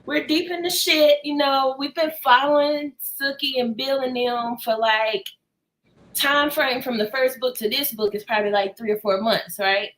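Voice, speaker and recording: A woman's voice: high-pitched, steady tone, moderate pace, a bit bright, a little hiss or hum, poor audio. A woman chatting casually as host of a book discussion, playful and slangy in her reactions. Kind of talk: casual book club chat.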